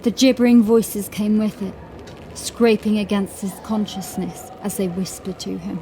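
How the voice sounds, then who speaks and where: A woman narrating a horror audiobook in a dramatic, scripted style, with measured, suspenseful storytelling. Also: medium pitch, steady tone, mellow, slight background noise, poor audio. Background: music.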